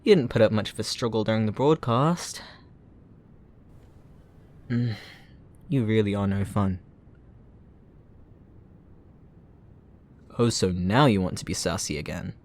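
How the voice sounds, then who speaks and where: A man's voice: fairly low pitch, moderate pace, pauses often, a little mellow, natural voice. A man playing a gloating, sarcastic supervillain who taunts a captive hero in a mocking, playful, theatrical way, in a roleplay audio drama.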